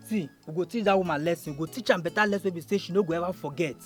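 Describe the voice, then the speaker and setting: Male actor as a high-voiced, rapid-fire Nigerian Pidgin schemer, pushy, with faint film music behind. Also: lightly acted. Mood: menacing. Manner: pushy, scolding, quick-talking. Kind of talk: Nollywood drama acting.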